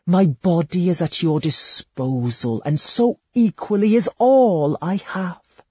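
A cunning young woman from an old storybook tale, pleading in a high, theatrical flutter, as a male narrator puts her on. Her voice is breathy and husky, recorded close and dry with no room around it. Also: lively intonation, slow, mellow, no room sound, full character voice. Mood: coaxing.

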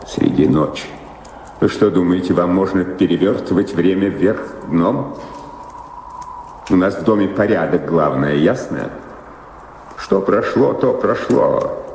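An actor playing an indignant, scolding manservant of a noble house in a radio drama. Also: male voice, low voice, darkish tone, exaggerated voice. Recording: reverberant hall sound with faint noise.

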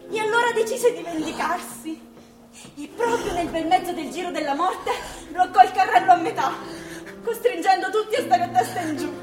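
An actress playing a storyteller who narrates a bittersweet fable in a theatrical fairy-tale style for radio drama. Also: female voice, extremely high pitch, moderate pace. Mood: wistful, playful. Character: expressive female storyteller telling a bittersweet fable. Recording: roomy stage sound under loud music.

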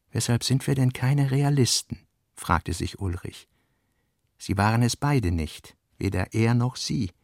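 A man's voice: lowish voice, creaky, no echo, studio quality. A man reading literary narration and diary notes for a radio play.

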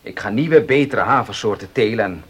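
An actor in a Dutch radio play playing a proud, domineering farmer and husband who speaks emphatically. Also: male voice, on the low side, expressive, brisk, breathy edge. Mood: firm, insistent. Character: proud, domineering gentleman farmer, loving but stubborn husband.

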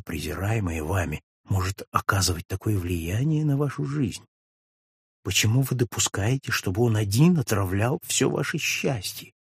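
A suave, sweet-talking gentleman, as a male reader plays him, pleading with gentle irony. The voice is husky and sits in a middle register, dry and close to the microphone. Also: medium pitch, no echo, lightly acted. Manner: pleading, smooth, gently ironic. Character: suave gentleman friend, tender and ironic. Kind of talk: audiobook reading of a classic novel.

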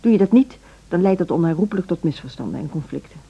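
A woman playing a cool, authoritative middle-aged music school director in a Dutch radio play, speaking in a measured, formal, pragmatic way. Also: lowish voice, lively intonation, gravelly, dark tone, slightly rough, dull audio, full character voice. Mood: measured, admonishing.

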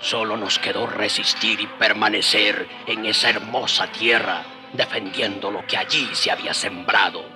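An actor playing a grave native narrator who recalls the Spanish conquest in a radio serial. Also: male voice, on the low side, fairly even intonation, normal speed, few pauses, natural voice. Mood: proud, mournful. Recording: music behind the voice.

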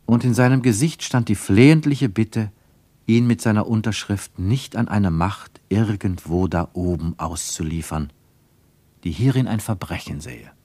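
A man's voice: fairly low pitch, a little slow, mellow, no echo. A man narrating a literary radio play in a dramatic, emphatic manner.

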